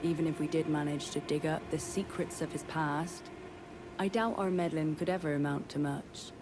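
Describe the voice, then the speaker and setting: A woman playing a devoted, composed warrior in a fantasy game, speaking in a measured, formal and earnest manner. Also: sits low, steady tone, background noise, lightly acted. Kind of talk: dramatic fantasy game dialogue.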